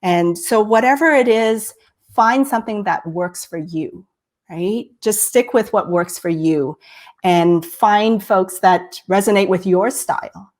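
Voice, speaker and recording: A woman's voice: mid-range voice, slow, a little mellow. Enthusiastic slightly nasal woman host. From Texas. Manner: enthusiastic, many "right?" and "I love it". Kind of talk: live-streamed interview.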